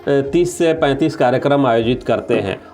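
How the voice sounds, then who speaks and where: A man's voice: medium pitch, normal speed, darkish tone, faint music behind. A man answers as an official in an interview, explaining point by point with some English terms mixed in. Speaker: government official, interview guest.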